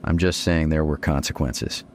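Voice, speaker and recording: A dead middle-aged father in a noir audio drama. His voice is extremely deep, with a measured, paternal, cautioning manner. Some ambient noise sits behind him. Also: steady tone. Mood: admonishing. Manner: measured, gently reproving.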